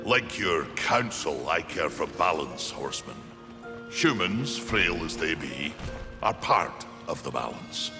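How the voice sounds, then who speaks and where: An actor playing a huge, gruff, honourable blacksmith giant in a video game. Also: male voice, big pitch swings, slow pace, exaggerated voice. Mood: gruff, steady. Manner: gruff, hearty, weighty. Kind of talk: video game cutscene acting. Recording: long booming reverb, music behind.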